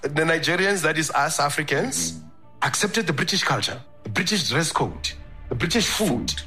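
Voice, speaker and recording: A man's voice: on the high side, animated, moderate pace, pushed and bright, faint music behind. A man giving a persuasive public speech, driving his point home with repetition. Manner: emphatic, repetitive lecturing. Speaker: public speaker.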